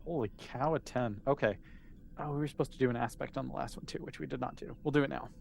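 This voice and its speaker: A man's voice: medium pitch, fast talker, vocal fry, slight background noise. A man running a tabletop game as game master, narrating casually and improvising as he talks. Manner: conversational, improvising as they go.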